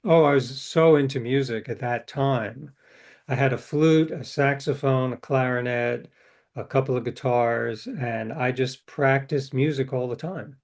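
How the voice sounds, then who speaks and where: A middle-aged man, a novelist guest in an interview, reflecting in a relaxed, storytelling way with frequent restarts. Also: medium pitch, a little slow.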